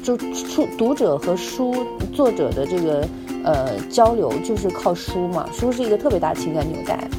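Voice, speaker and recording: A woman's voice: lowish voice, lively intonation, normal speed, few pauses. A woman co-host in a casual chat, feeling her way through questions to a guest. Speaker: podcast co-host interviewing the author. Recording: music playing clearly behind the talk. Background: background music.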